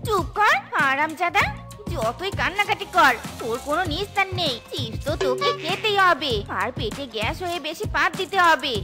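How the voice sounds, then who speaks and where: Very shrill, bossy cartoon wife. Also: female voice, expressive, normal speed, loud music behind the voice. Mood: angry, greedy. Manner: shrill, scolding, domineering. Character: bossy, greedy, scolding wife in animal cartoon. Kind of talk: exaggerated Bengali comedy cartoon acting.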